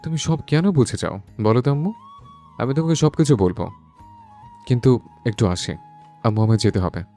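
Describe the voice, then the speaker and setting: A man's voice: medium pitch, animated, moderate pace, warm tone, faint music behind, close to an everyday voice. A man playing a brooding, lovestruck young son in an audio love story, earnest and insistent, mixing in English words. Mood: evasive, subdued.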